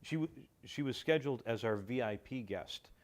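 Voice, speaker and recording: A man chatting casually as an interview guest, courteous and halting, often repeating words as he goes. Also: medium pitch, expressive. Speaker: guest, radio show writer. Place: community television studio.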